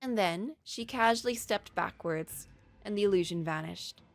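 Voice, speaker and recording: A woman with a mid-pitched voice reads a story aloud as a streamer. Her narration is expressive, and music plays faintly behind her. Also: normal speed, no echo, clean studio sound.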